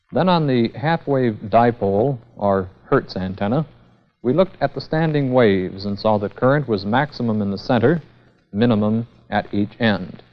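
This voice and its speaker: A man giving a formal, methodical scripted technical lecture as the instructor in a vintage educational film. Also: lowish voice. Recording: dull, band-limited vintage 16mm film sound.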